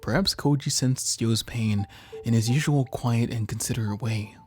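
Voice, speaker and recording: Male let's player, mid-pitched, jokey, reads story text aloud with stumbles, faint game music behind. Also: normal speed, a little mellow. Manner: jokey, giggly, stumbles over read-out text. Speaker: streamer narrating a game. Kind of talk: casual let's play, reading visual novel text aloud.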